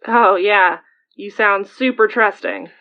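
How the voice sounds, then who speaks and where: A woman's voice: medium pitch, slow pace, highs cut off. An actress playing a casual, teasing, supportive female friend in a naturalistic audio drama. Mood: probing, teasing.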